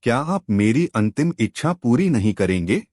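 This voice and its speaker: A man voicing a loyal, calm male royal minister in a storybook tale, speaking earnestly. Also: mid-range voice, normal speed, dry recording, clean studio sound. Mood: pleading.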